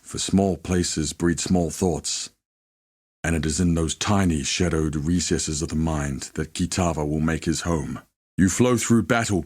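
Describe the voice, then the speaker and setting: An actor playing a solemn, honorable warrior smith who binds spirits, speaking in a measured, formal, reverent way for a fantasy game. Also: male voice, deep voice, a little mellow. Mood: ceremonial, intense. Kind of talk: scripted fantasy game character lines.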